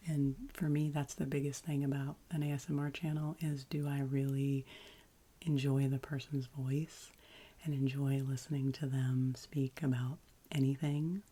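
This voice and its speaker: A woman with a deep, husky voice chats gently as an ASMR host, soft-spoken and often whispering. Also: level tone. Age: adult, past college. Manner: slow, gentle, soothing delivery.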